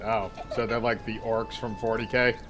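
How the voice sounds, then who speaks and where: A man's voice: lowish voice, level tone, normal speed, over music. A man making crude, wisecracking commentary during a casual game playthrough. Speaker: gaming stream co-commentator.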